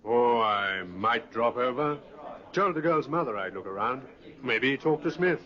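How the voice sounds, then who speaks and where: An actor playing a shrewd police inspector in a 1950s radio drama, casual and probing. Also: male voice, medium pitch, animated, measured pace, a crowd in the background, close to an everyday voice. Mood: offhand, probing. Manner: offhand, probing. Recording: muffled old radio recording.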